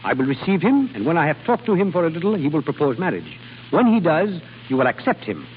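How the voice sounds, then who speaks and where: An actor playing an exacting, unsentimental guardian with a clipped, lecturing manner in an old radio drama. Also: male voice, a little high, sing-song, fast talker. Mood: cool, firm. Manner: clipped, stern, dryly lecturing. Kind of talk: 1930s-40s radio drama acting. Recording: tinny phone-like, hissy old radio broadcast.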